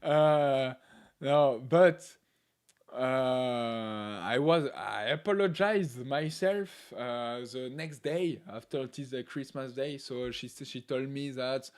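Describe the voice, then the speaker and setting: A man hosting a live stream, chatting casually in English with a non-native accent, laughing often and filling pauses with "uh". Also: mid-range voice, smooth. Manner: laughs often, talks with many "uh" fillers. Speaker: livestream host.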